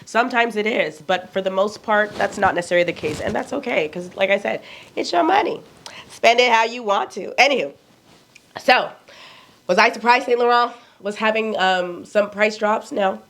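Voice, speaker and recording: A woman in her forties with a Nigerian accent talks straight to her viewers in a relaxed, chatty way. She is blunt and candid, and she poses questions to herself and answers them. Her voice sits at an ordinary middle pitch. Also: normal speed, vocal fry. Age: 40s. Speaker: fashion vlogger. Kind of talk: casual chat to camera.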